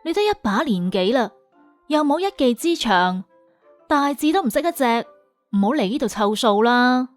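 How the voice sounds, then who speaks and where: A woman's voice: fairly high pitch, animated, moderate pace, soft background music, natural voice. A woman playing a haughty, scathing female job interviewer in an audio drama, lecturing with cutting contempt. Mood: scornful. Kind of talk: Cantonese audio drama acting.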